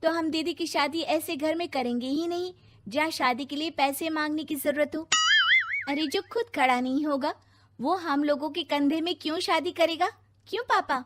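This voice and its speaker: A forthright, caring young daughter from a cartoon voiced by a woman has a high-pitched voice. She puts questions directly and asserts herself. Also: moderate pace, lightly acted. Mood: proud, assertive. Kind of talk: cartoon story dialogue.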